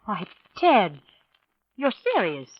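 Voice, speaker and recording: Animated young wife, vintage radio drama. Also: female voice, medium pitch, takes it slowly, dry recording. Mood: surprised, indignant. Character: composed young wife, affectionate but puzzled by husband. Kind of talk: 1940s American radio drama acting. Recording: dull, noisy vintage radio recording with clicks.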